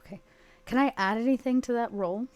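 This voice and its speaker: A woman's voice: a little high, brisk, darkish tone. A woman playing a tabletop roleplaying game, casually describing her character's actions and thinking aloud with some hesitation. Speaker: player in a tabletop roleplaying podcast.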